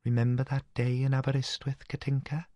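A man's voice: mid-range voice, monotone, fairly quick, warm tone, no room sound, dull audio, voice pushed up, close to an everyday voice. A man voicing a chatty, affectionate Welsh housewife in a storybook reading, gossiping fondly. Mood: softly fond. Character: chatty, fond Welsh housewife reminiscing over family.

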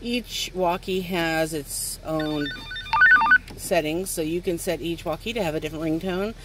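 A woman's voice: on the low side, unhurried. A woman giving a casual unboxing review outdoors, talking into a handheld camera and piecing her explanations together as she goes. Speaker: toy review channel host, mother. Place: outdoors on a wooden step beside a house. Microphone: handheld phone or camera close by. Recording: noisy handheld outdoor recording.

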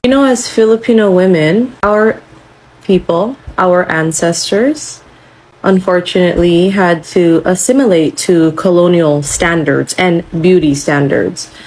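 Young woman, lowish husky voice, blunt scolding delivery, swearing, direct-to-camera response. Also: unhurried, darkish tone, rough recording. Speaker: social media creator.

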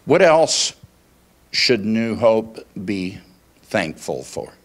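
A man in his sixties speaks as a pastor on the low side of male pitch. He takes his time, setting out short deliberate phrases and turning to the congregation with questions. The style is conversational preaching in a small church room. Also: very animated. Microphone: handheld microphone held at chest.

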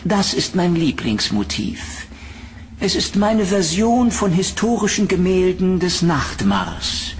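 An actor playing an eccentric, menacing painter in a German horror audio drama, theatrical, flattering and insistent. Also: male voice, high-pitched, slow, slight background noise, full character voice. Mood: brooding pride. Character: eccentric, menacing male painter of macabre nightmare art.

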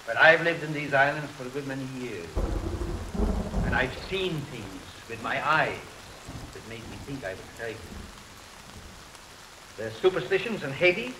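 A man's voice: mid-range voice, sharp tone, low-quality recording. Earnest older man, hissy 1930s film. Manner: halting, emphatic explaining with repeated phrases. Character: earnest older island missionary explaining dark superstitions. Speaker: film actor. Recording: hissy 1930s film soundtrack under rain. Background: rain and thunderstorm ambiance.

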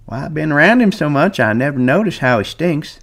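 A man's voice: fairly high pitch, expressive, a little fast, creaky, fairly bright tone, pitched above the performer's own voice, heavily acted. A man voicing an old ranch swamper with a thin, complaining voice. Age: older. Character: old male ranch swamper, whiny and sickly.

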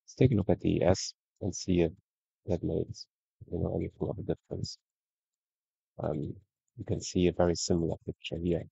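A man giving a relaxed, conversational screen-share review of trading charts, often ending points with "right?". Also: low voice, fairly quick, gravelly, pauses often, breathy edge, dry recording, highs cut off. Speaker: trading educator.

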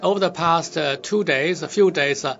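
A man interpreting a delegate's speech live, halting with frequent fillers. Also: on the high side, fairly bright tone. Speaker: simultaneous interpreter. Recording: dull lo-fi feed.

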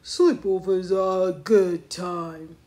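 A woman voicing a sensible male ape neighbor in a children's storybook, measured and matter-of-fact with mild curiosity. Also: fairly low pitch, fairly even intonation, warm tone. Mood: calm, curious.

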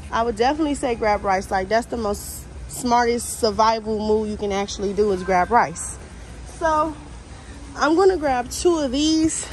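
A woman's voice: on the high side, normal speed, rough recording. A young American woman chatting informally and directly to viewers, as in a casual shopping vlog. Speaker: vlogger. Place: inside a large warehouse store. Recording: faint store ambience noise.

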